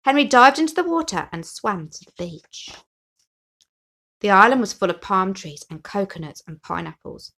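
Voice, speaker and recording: A woman's voice: medium pitch, lively intonation, moderate pace, sharp tone. Woman reading a children's storybook. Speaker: story reader. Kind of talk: expressive children's storybook narration.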